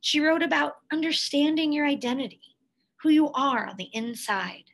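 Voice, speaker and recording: A woman reading a picture book aloud expressively, in a measured way with dramatic pauses and emphasis. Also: high-pitched, lively intonation, gravelly. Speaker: read-aloud storyteller, teacher.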